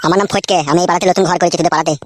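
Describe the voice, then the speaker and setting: Man voicing a fast, coarse village busybody. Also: high-pitched, steady tone, a little hiss or hum, close to an everyday voice. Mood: nosy, cheeky. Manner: coarse, slangy, familiar. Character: nosy, blunt, chummy village newcomer, married man. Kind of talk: comic cartoon dialogue.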